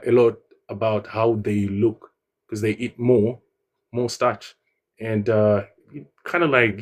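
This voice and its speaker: A man's voice: fairly low pitch, a little mellow. A man from Zimbabwe giving a casual, hesitant comment in an online debate room. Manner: hesitant, many fillers. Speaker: debate participant.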